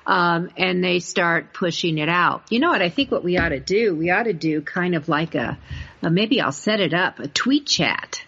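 A woman with a fairly low voice hosts a conversational interview. She explains things emphatically and likes to pose questions to the listener. The audio is somewhat dull and lo-fi. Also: animated, moderate pace, a bit bright, husky. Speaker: podcast host.

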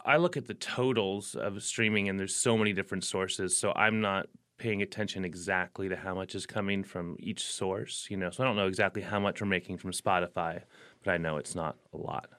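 A man's voice: deep voice, brisk. A man, a working musician, answering interview questions casually, rambling with lots of verbal fillers. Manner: relaxed and rambling, full of "like" and "you know" fillers. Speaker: career musician, interview guest.

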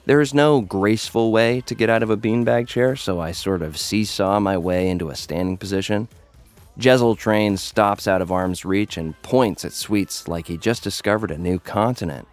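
A man's voice: on the low side, normal speed, natural voice. An actor playing Danny, a cocky, jaded young American influencer who fights for his followers, narrating an audio drama in a wry, conversational way. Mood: tense narration. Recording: music bed behind the voice.

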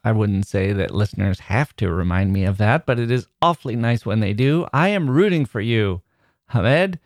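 A man hosting a literature podcast, chatting casually and reading out a listener's email, self-deprecating and quick to chuckle. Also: fairly low pitch, lively intonation, no room sound, clean studio sound. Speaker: podcast host.

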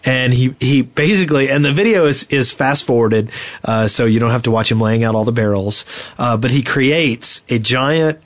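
A man's voice: mid-range voice, normal speed. A man co-hosting a podcast, talking in casual chat. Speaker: podcast co-host.

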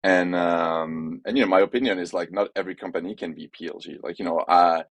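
A man with a French accent and a low voice speaks in a dry, close recording. He gives firm opinions in a casual, rambling way, filling his sentences with "like" and "you know". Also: brisk, dry recording. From France. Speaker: podcast guest, head of growth. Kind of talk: casual business conversation.

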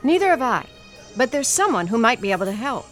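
An actress playing a precise crime lab scientist who talks crisply. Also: female voice, fairly high pitch, sing-song, a little fast, faint music behind.

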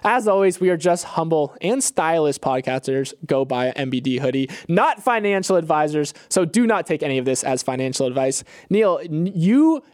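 A man's voice: fairly high pitch, animated, normal speed, few pauses, darkish tone, dry recording, studio quality. A man co-hosting a podcast, mixing casual news banter with playful, slangy segment intros. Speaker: podcast co-host.

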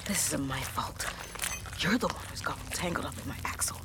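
A woman's voice: deep voice, lively intonation, a little fast, in a whisper. A woman playing a stubborn, battered, foul-mouthed trucker in a naturalistic audio drama, blunt and given to muttering. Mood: frustrated, muttering. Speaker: lead actor in audio drama.

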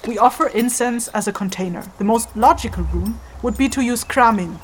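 A woman playing a knowledgeable, easily exasperated feathered ritual leader in a fantasy audio drama, speaking in a measured, instructive way. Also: fairly high pitch, a little hiss or hum, lightly acted. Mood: patient, instructive.

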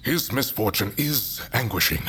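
An actor playing a stern, measured middle-aged patriarch who rules a fantasy mountain council, speaking formally and deliberately. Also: male voice, medium pitch, animated, bright, forceful tone, slight background noise. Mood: measured, reasoning. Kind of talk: fantasy audio drama acting.

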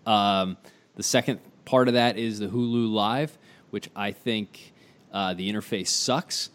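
A man hosting a casual sports podcast, tired from little sleep, with a deep morning voice and a chatty, loose way of talking. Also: unhurried. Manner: chatty, loose, many fillers. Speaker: podcast host.

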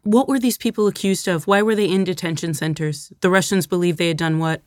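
A woman's voice: fairly low pitch, a little fast, darkish tone, dry recording. A woman hosting a news podcast, putting direct interview questions to a guest.

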